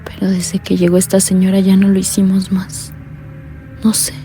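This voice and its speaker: A woman's voice: completely flat delivery, normal speed, dark tone, faint music behind, lightly acted. A woman playing an uneasy blind young caller. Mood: anxious. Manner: low, earnest, uneasy confiding. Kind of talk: radio drama acting.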